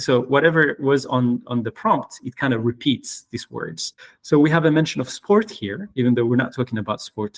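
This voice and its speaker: A man whose native language is Tigrinya, speaking English slowly and carefully as an interviewed researcher. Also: medium pitch. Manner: slow, measured, explanatory.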